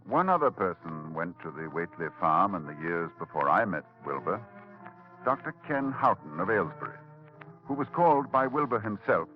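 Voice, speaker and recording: A man narrating a horror radio drama in a grave, measured storytelling manner. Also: deep voice, very animated, faint music behind. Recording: dull old radio broadcast.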